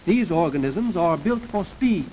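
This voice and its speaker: A man giving formal, measured, scripted narration in the manner of a 1930s educational science film, lecturing as the narrator. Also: sits high, animated. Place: recorded voice-over for a film. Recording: dull, noisy 1930s film soundtrack.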